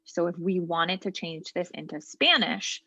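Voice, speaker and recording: A woman trainer patiently walking a practice client through online software during a role-play interview. She explains each step and often pauses with ums and short asides. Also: on the low side, animated, breathy edge, no room sound, muffled recording. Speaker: legal aid trainer. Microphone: video call.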